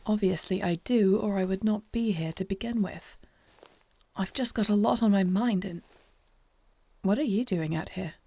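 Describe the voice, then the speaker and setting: Casting: female actor as proud, quick-tempered, kind-hearted fantasy queen; regal formal diction with noticeable vocal fry. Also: mid-range voice, warm tone. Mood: irritated, confused. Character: short-tempered yet caring newly crowned warrior queen. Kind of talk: fantasy audio roleplay acting.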